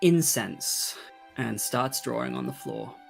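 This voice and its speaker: A man serves as game master, narrating and chatting informally at a slow pace. His middle-pitched voice has a gravelly creak, and soft music plays behind him. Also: lively intonation. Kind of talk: tabletop game narration and casual chat. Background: faint background music.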